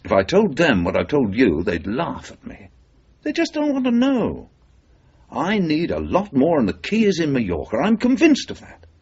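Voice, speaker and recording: An actor playing a driven, persuasive freelance journalist in a radio thriller, speaking with insistent emphasis. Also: male voice, mid-range voice, darkish tone, husky. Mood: insistent, intense. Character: driven, persuasive male freelance journalist, obsessed with a suspicious death. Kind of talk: radio drama acting. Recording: muffled 1980s radio drama recording.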